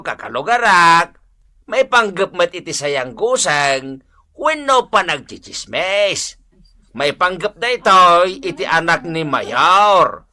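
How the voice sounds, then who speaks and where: A man's voice: on the high side, bright, forceful tone, heavily acted. A man playing an exasperated, scolding barangay captain in an Ilocano comedy radio drama, with impatient, emphatic delivery. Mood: formal, stern.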